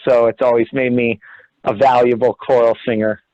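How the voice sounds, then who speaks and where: A young man from the Cincinnati area speaks over a video call, his voice at an average pitch for a man. He reminisces in a rambling, reflective way, often stopping to correct himself. The audio is dry and somewhat dull. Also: flat delivery, normal speed, no echo. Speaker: guest, orchestra assistant conductor. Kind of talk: casual storytelling in an interview.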